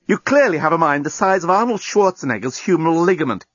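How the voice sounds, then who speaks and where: A man voicing an exasperated, sarcastic woman in an audiobook, with shrill and emphatic scolding. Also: very animated, normal speed, fairly bright tone, dry recording, muffled recording, exaggerated voice. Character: exasperated, sarcastic young woman, quick to yell. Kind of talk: storybook audiobook character voice.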